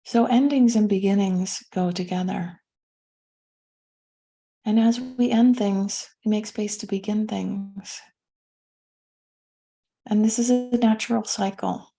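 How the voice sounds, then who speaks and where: A woman leading a guided meditation as a teacher, speaking gently and soothingly with pauses between phrases. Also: medium pitch, steady tone, creaky.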